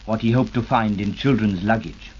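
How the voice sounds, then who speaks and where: A man's voice: fairly low pitch, moderate pace, darkish tone, a little hiss or hum, natural voice. A man in a vintage radio play voicing Brother Jim, an earnest, protective older brother who sounds concerned. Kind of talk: 1940s radio acting. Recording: dull old radio recording.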